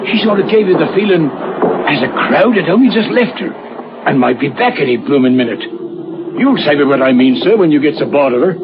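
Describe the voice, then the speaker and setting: An actor playing a rough, plain-spoken old whaler in a radio horror drama, with a working-class English accent and a blunt, uneasy manner. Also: male voice, fairly high pitch, lively intonation, a little fast. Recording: dull, noisy old radio recording.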